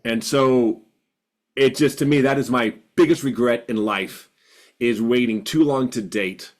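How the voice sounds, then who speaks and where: A man's voice: mid-range voice, a little slow. A man in his fifties who stutters, sharing earnestly and reflectively in a group video call, repeating words for emphasis. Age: 50s. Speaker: support group participant.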